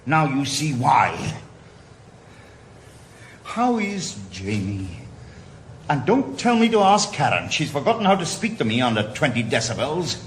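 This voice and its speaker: A man with a Welsh accent playing a bitter, sarcastic retired detective in a radio drama, blunt and gruff. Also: medium pitch, huge pitch swings, measured pace, long pauses, slight background noise. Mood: angry. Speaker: lead actor.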